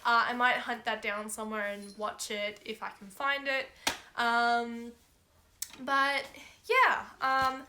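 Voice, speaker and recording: A young woman reviewing anime from her bedroom, rambling and laughing often, her voice hoarse after losing it. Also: a little high, level tone, slow pace, noisy background. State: hoarse, has lost her voice. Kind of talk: casual video review. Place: bedroom at home.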